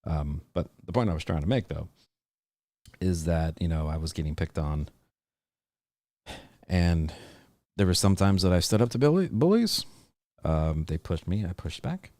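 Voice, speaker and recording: Podcast host, male, very deep and gravelly, rambling casual delivery, dry close mic. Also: lively intonation, moderate pace, pauses often, darkish tone, no echo. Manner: rambling, hesitant, many fillers and restarts. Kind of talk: casual livestream chat.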